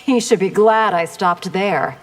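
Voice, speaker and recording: An actress playing a proud, confident army commander in a video game, with haughty, formal speech. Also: female voice, medium pitch, a little slow, music in the background, close to an everyday voice. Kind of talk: video game character dialogue. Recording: long reverb.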